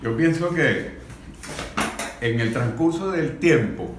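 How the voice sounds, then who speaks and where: A Venezuelan man speaks slowly and deliberately in an informal discussion, with halting, repeated phrases. His voice is mid-pitched and sounds in an echoey room. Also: lively intonation. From Guayana, Venezuela. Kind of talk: spontaneous discussion. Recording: echoey room, faint background noise.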